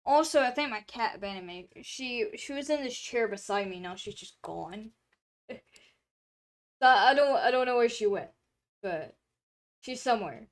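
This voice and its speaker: A young woman with a fairly high voice chats loosely on a stream, circling back with fillers and restarts as she talks. Her throat sounds a bit dry and hoarse. She is talking from a room at home. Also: lively intonation, unhurried, long pauses. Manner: rambling, chatty, lots of filler words and restarts. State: throat drying, slightly hoarse. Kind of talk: casual stream chat.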